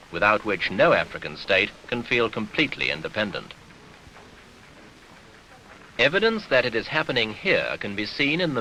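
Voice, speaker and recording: A man narrating a 1970s documentary newsreel in a formal, measured, authoritative way, on an archival soundtrack. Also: lowish voice, sharp tone, a little hiss or hum, poor audio.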